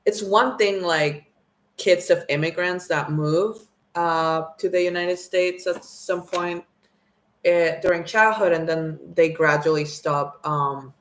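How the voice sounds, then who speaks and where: A woman's voice: low-pitched, a bit bright. A young woman from Mexico whose first language is Spanish, casually introducing herself to the camera, rambling with lots of "like" and "um". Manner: rambling, lots of "like" and "um", self-conscious. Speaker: vlogger. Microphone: camera mic, talking to camera.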